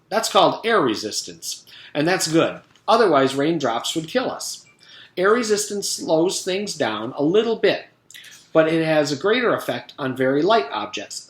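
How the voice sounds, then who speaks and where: A man voicing an elderly female storybook teacher, deliberate and instructive, kindly with a stern streak. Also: a little high, fairly bright tone, reverberant, pitched above the performer's own voice. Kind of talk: children's storybook read-aloud.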